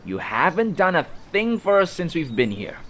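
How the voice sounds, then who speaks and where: A man's voice: a little high, big pitch swings, moderate pace, fairly bright tone. A man playing a resentful, whiny fantasy creature in an audio drama, griping and blustering as a would-be mutineer. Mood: defiant.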